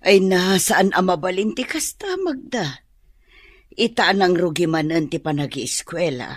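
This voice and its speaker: Husky, swooping Filipino landlady. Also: low-pitched, dry recording, clean studio sound, full character voice. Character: firm older boarding house landlady demanding overdue rent. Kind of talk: radio drama acting.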